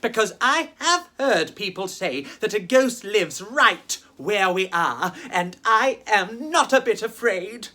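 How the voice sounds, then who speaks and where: A woman's voice: medium pitch, lively intonation, slow pace, hardly any pauses, pushed and bright. A woman telling rhyming children's stories in a dramatic, playful way, speaking straight to her listeners. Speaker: children's storyteller and host. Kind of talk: theatrical rhyming storytelling for children.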